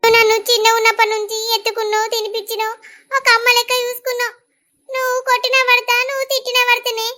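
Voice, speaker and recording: A woman plays a devoted younger sister from a village family drama. Her voice is very high and bright, with a Telangana accent and an affectionate, pleading delivery. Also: moderate pace. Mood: pleading, tender. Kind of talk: rural family drama acting.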